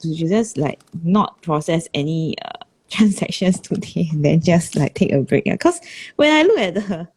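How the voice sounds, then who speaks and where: A woman's voice: fairly low pitch, very animated, normal speed, few pauses, darkish tone, husky. A woman with a Singaporean English accent and a slight sore throat, co-hosting a casual chat in an easygoing, reflective way. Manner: easygoing, reflective, Singlish particles. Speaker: podcast co-host.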